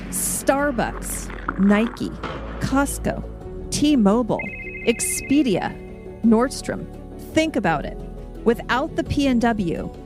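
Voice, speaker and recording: An American woman reading a scripted persuasive speech as a podcast guest, playful and tongue-in-cheek in a mock-grand delivery. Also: medium pitch. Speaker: podcast guest making a debate case. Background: music under the voice.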